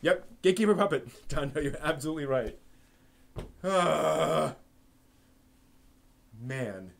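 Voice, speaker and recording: A man chatting casually as host of an anime live stream. His voice sits a little high for a man. He rambles through long stories, full of ums, restarts and asides. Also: slow pace, gravelly. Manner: rambling with many fillers and restarts; animated storyteller. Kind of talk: casual chat with chat readers.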